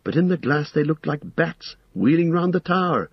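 A man voicing a frightened, pleading young male hobbit in a storybook reading, with a shrill, stammering voice. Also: animated, normal speed, breathy edge, no echo, highs cut off. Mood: hesitant, frightened. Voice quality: shrill, strained. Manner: stammering, hesitant.

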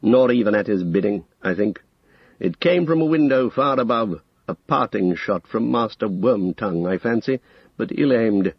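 A man's voice: on the low side, lively intonation, normal speed, gravelly, slightly rough, dry recording, highs cut off, exaggerated voice. A man voicing a stern, weary old male wizard who speaks with commanding authority in an audiobook story. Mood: gruff, measured.

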